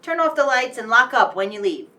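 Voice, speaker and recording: A woman playing a brisk, commanding radio producer in a radio play. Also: fairly high pitch, big pitch swings, bright, forceful tone, recorded from a distance. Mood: breezy.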